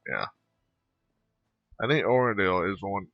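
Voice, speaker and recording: A man's voice: sits low, very animated, normal speed, no echo, dull audio, soft background music. A man giving casual, rambling, hesitant commentary while playing a game. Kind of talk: casual game commentary, reading choices aloud.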